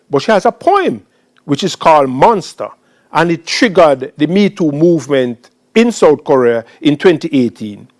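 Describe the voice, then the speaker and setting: A man hosting a poetry festival, introducing guests in florid, rhetorical, deliberate phrases. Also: fairly high pitch, a little mellow. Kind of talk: spoken introductions at a literary event.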